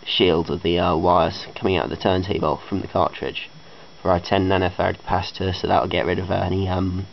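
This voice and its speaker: A man's voice: low-pitched, flat delivery, fairly quick, vocal fry. A man giving a casual, unscripted technical demonstration, speaking hesitantly and matter-of-factly into a handheld camera indoors. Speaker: hobbyist electronics builder. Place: carpeted room indoors. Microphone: handheld camera microphone. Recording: dull lo-fi camera audio with faint noise.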